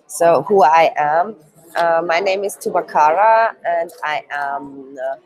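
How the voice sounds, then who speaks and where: Low-voiced hesitant woman lecturing slowly. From Switzerland, Turkish roots. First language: not English. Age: 20s. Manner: hesitant non-native English, many ums. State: sick. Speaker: youth work trainer. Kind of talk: live online course presentation.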